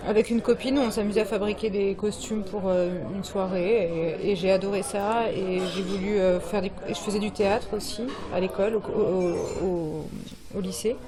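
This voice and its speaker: A woman's voice: mid-range voice, steady tone, normal speed. French woman in her 30s chatting as a guest, lots of euh, crowd chatter all around. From France, Brittany. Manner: hesitant, many fillers and restarts. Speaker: guest fashion designer. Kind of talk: casual interview talk. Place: bar evening event with crowd.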